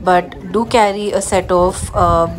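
A woman's voice: fairly low pitch, steady tone, unhurried, faint music behind. A young adult woman with an Indian accent, narrating a travel vlog in a casual way. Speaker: travel vlogger.

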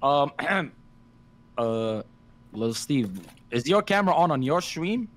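A brash young man from Somalia runs a group video call, bossy and full of swearing. His voice sits fairly high and swings widely. The sound is thin video-call audio. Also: very animated, takes it slowly. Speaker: podcast host.

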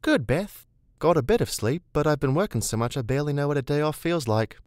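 A man's voice: medium pitch, sing-song, brisk, dry recording, studio quality, voice pushed up, natural voice. A man voicing an easygoing, teasing young woman scientist in an audiobook, casual and confident. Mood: breezy, teasing. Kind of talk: audiobook character dialogue.

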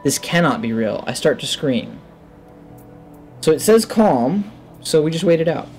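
A man in his thirties giving casual game commentary into a headset, thinking aloud in short, low-key remarks. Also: sing-song, a little slow, a little mellow, soft background music. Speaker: gamer, let's play host. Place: indoor room at a gaming setup.

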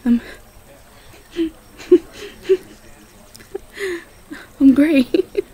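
A woman playing a tender, easily overwhelmed Southern belle girlfriend in a roleplay audio drama, with a Southern US accent and a halting, breathy delivery. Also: high-pitched. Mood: overwhelmed, tearful. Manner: soft, halting, repeats words.

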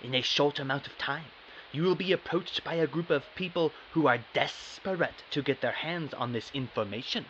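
Man acting hushed, refined cloaked stranger, dull sound. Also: mid-range voice, a little hiss or hum, lightly acted. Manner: formal, grave wording. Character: mysterious cloaked man, urgent and secretive. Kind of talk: amateur audio drama acting.

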